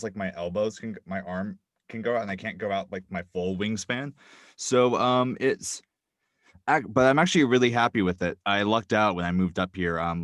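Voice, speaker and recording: Low-voiced man rambling from a closet. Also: dry recording. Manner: rambling, lots of ums and likes. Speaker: podcast guest. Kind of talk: casual chat. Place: small cramped closet. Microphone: microphone wrapped in a blanket. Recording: dry, deadened closet sound.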